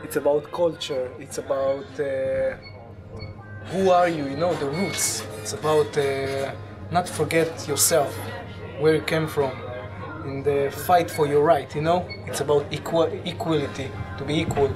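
Young man from Ethiopia, halting speech. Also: a little high, fairly even intonation, music in the background. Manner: halting, searching for words, many fillers. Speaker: hip hop musician. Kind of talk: interview.

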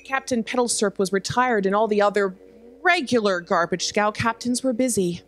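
A woman playing a literal-minded self-aware garbage spaceship, measured and matter-of-fact in a staged sci-fi comedy drama. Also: mid-range voice, faint music behind, lightly acted. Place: theatre stage, live performance. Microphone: stand microphone.

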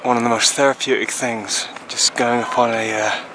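A man giving relaxed, unhurried vlog commentary outdoors, musing aloud into a camera's built-in mic. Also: medium pitch, background noise. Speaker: vlogger filming a ski trip. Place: outdoors on a ski chairlift. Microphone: handheld camera's built-in mic.

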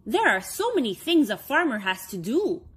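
Woman reading as patient farmer uncle, highish voice. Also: big pitch swings, moderate pace, a bit bright, slightly rough, exaggerated voice. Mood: patient, instructive. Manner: instructive, patient. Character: helpful adult male farmer uncle, patiently explaining.

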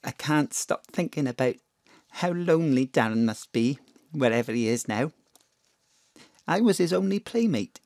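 A woman's voice: sits low, expressive, normal speed, long pauses, darkish tone, no echo. A woman playing a polite, hesitant, old-fashioned mother in her thirties, dignified in grief, in an audio drama. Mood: grieving. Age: 30s.

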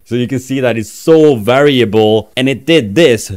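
A man in his thirties from Norway, speaking with a Norwegian accent in a casual, playful software demo. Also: mid-range voice, moderate pace. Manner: chatty, playful, self-mocking. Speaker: tech reviewer. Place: small room, indoors.

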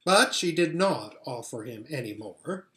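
A man's voice: mid-range voice, expressive, a little slow, pushed and bright, reverberant. A middle-aged man reading a children's novel aloud expressively, at home. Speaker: storybook reader. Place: living or dining room at home, indoors.

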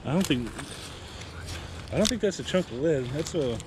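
A man's voice: a little high, animated, moderate pace. A man co-hosting a casual outdoor vlog by the water, talking about things in plain, chatty fashion. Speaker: magnet fishing video co-host. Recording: noisy outdoor ambience behind voice.

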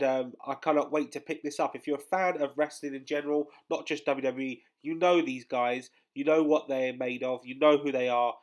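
Young man, congested, slightly high voice, chatty rambling vlog host. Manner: chatty and rambling, many fillers. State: congested, under the weather. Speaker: collectibles channel host. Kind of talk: casual news vlog voice-over.

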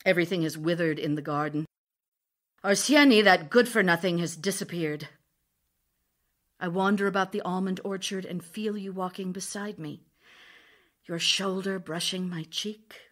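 An actress playing a grieving, longing widow in an audio drama, reading intimate letters in a tender, wistful, expressive way. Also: female voice, on the low side. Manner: tender, wistful, emotionally expressive, pausing delivery.

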